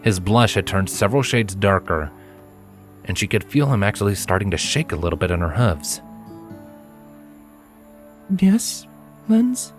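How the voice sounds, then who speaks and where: A man reading fiction aloud as an expressive narrator of a scripted story. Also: sits low, fairly quick, faint music behind.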